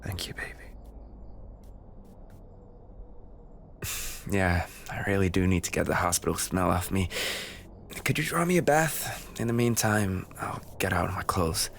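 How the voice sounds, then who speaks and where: A man's voice: low voice, normal speed, creaky, a bit bright, a little hiss or hum. A man playing an injured young race-car driver boyfriend, tender and playfully needy, in an intimate roleplay audio. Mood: resigned, affectionate.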